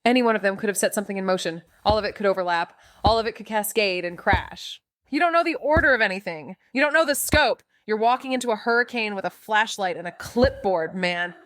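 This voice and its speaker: An actress playing a defiant young amateur sleuth in a noir audio drama, blunt and slangy, with anxious sardonic edge. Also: female voice, medium pitch, brisk, hardly any pauses, fairly bright tone, no echo, natural voice. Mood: exasperated, defiant. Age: young adult. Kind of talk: noir audio drama dialogue and inner narration.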